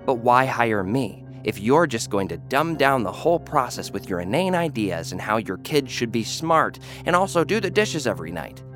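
A man's voice: medium pitch, big pitch swings, moderate pace, few pauses, a bit bright, faint music behind. A man narrating a scripted audio drama in first person as an arrogant scientist, condescending and dryly sarcastic. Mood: smug. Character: arrogant male scientist who builds people. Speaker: narrator and lead character.

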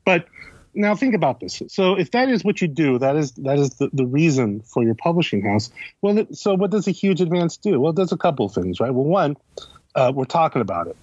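A man's voice: fairly high pitch, big pitch swings, a little fast, a little mellow, no echo. A man talking casually as an interview guest, conversational, often posing questions and answering them himself. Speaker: guest, critic and editor. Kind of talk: casual explanatory interview talk. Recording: slightly dull, lo-fi podcast audio.

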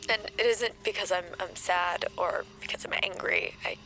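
An actress playing a resolute young woman giving an intimate farewell monologue, soft and sometimes whispery. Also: mid-range voice, fairly even intonation, moderate pace, close to an everyday voice, poor audio. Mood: tender, resigned. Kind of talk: intimate recorded farewell monologue, audio drama. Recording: music behind the voice.

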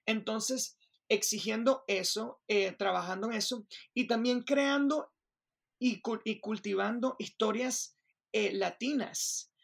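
A woman from Venezuela with a Venezuelan accent, talking as a guest in an interview in an animated way, restarting sentences and checking in with the listener. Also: fairly high pitch, takes it slowly, vocal fry, husky. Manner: animated, restarts, keeps asking "me entiendes". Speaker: Broadway performer, guest. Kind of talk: interview conversation.

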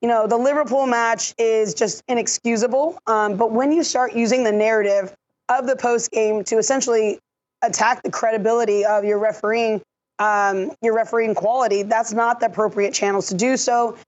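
Woman, voice worn out, methodical explainer, higher pitch, dull audio. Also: steady tone, a little mellow. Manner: measured, explanatory, technical. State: lost her voice. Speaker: refereeing analyst. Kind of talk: podcast discussion.

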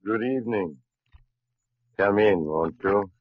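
Deep, sinister old-radio host. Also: male voice, no room sound. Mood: ominous, courteous. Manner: measured, ominous, theatrical. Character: sinister, courteous doctor host reading a secret journal. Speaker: narrator and host of a horror radio drama. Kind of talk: 1940s radio horror narration. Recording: muffled old radio recording with music.

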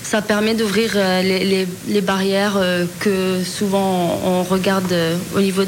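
A woman's voice: mid-range voice, flat delivery, unhurried, a little hiss or hum. A young woman in her twenties answering interview questions earnestly, with many fillers and restarts. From Lebanese heritage. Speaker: student guest.

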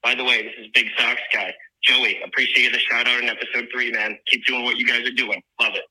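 A man leaves a casual fan message on a phone voicemail. His voice is mid-pitched and extremely bright, with a hard, sharp edge, and it is heard as telephone playback. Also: flat delivery, brisk, slightly rough, poor audio.

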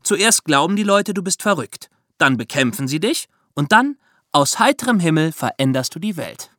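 Young man, high-pitched and dry-recorded, scripted podcast narrator. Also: very animated, moderate pace, sharp tone, dry recording. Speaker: podcast co-host.

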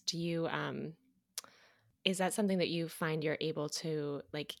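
A woman with a notably deep voice hosts a conversational interview. She asks long, thoughtful questions in an encouraging way. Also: creaky. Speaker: podcast host.

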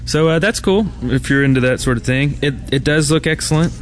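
A man's voice: on the high side, fairly quick, slight background noise. A man co-hosting a podcast, chatting casually on a convention floor. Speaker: podcast co-host. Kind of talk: casual chat.

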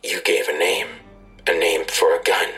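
An actor playing a menacing, coldly insistent blackmailer in an audio drama, taunting and deliberate, with a gravelly, husky voice that slips into whispers. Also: male voice, sits low, flat delivery, sharp tone, faint music behind, full character voice. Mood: cold demanding. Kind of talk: full-cast audio drama acting.

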